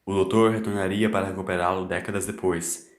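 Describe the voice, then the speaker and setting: A man's voice: sits low, fairly even intonation, fairly quick. A man narrating a scripted dramatic story in a solemn, theatrical way. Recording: echoing voice over music.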